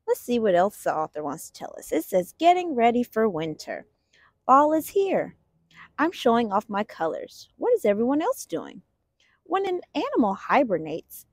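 A woman reads a children's picture book aloud with a very animated, swooping delivery. She takes her time over the words in an expressive storytelling way. The sound is dry and close, without room echo. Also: medium pitch.

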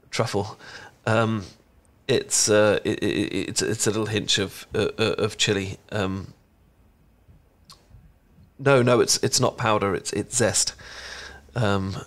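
A man with a British accent playing a shy, smitten young chocolatier in an ASMR roleplay, speaking in nervous, halting stammers. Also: fairly low pitch. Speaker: voice actor in an audio roleplay. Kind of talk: ASMR roleplay, one-sided intimate conversation.

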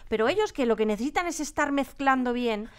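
High-voiced Spanish woman telling anecdotes. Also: fast talker, smooth. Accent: Spain. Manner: animated storyteller, acts out quoted remarks. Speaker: radio drama production team member. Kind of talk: casual interview anecdote. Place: radio drama studio.

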